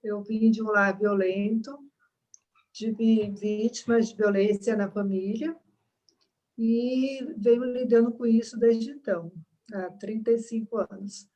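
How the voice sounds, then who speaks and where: Earnest dermatologist woman, fairly high voice, reflective pauses on a call. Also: fairly even intonation, dark tone, dull audio. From Salvador, Bahia, Brazil. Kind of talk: speaking on a video call. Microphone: video call.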